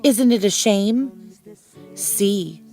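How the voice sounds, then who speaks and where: A woman's voice: medium pitch, drawn-out words, warm tone, faint music behind. A woman voicing a regretful, gossiping village woman in a storybook, lamenting and emphatic. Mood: disappointed.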